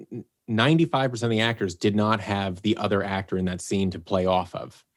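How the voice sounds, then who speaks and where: A man, a voice actor and producer, talking as a guest on a podcast and telling stories in a lively way. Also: on the low side. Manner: animated storyteller, acts out quotes. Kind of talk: casual podcast conversation.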